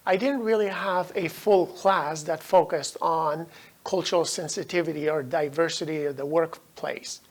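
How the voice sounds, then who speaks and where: Gravelly-voiced man lecturing from Afghanistan. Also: on the high side. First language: Dari. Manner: measured, explanatory. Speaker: professor. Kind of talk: recorded lecture.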